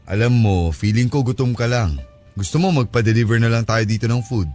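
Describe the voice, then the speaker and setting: An actor playing an evasive, weary married lover in a Tagalog audio drama, conversational and placating. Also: male voice, medium pitch, a little fast, darkish tone, faint music behind, lightly acted.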